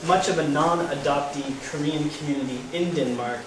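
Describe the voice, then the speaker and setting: A man leads the Q&A portion of a talk, speaking in a measured, polite manner. His voice sits a little high, and it comes from some distance off in a very reverberant hall with noise underneath. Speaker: Q&A moderator. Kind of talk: reading audience questions. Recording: echoey hall, mic far off, noisy.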